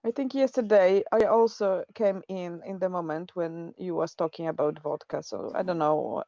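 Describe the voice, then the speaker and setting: A woman from Poland with a Polish accent, talking slowly and haltingly with many restarts in casual chat on a game stream. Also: lowish voice, dark tone, no echo. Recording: dull lo-fi stream audio.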